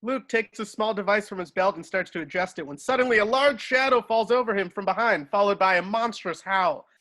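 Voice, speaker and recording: Bright higher-voiced man narrating a script. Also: brisk, dry recording. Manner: stumbles over words and corrects himself. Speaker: narrator reading stage directions. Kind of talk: scripted narration at an amateur table read.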